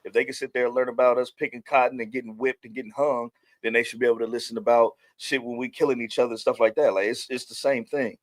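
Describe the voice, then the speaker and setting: A man's voice: mid-range voice, steady tone, fast, few pauses, dry recording. A man with an American accent in a casual podcast discussion, speaking informally with slang and swearing. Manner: slangy, informal, uses profanity. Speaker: podcast panelist.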